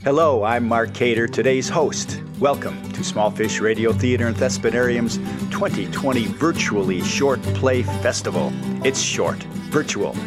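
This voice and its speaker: A man's voice: mid-range voice, unhurried, over loud music. A man hosting a radio programme with a jokey scripted introduction, wry and prone to interrupting himself. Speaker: host.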